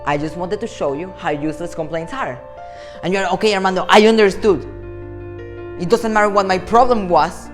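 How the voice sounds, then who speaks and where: A man in his twenties with a Venezuelan accent, a motivational speaker talking to camera in a playful, animated way and acting out imagined dialogue. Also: sits high, fairly quick, faint music behind. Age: 20s. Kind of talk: talk to camera.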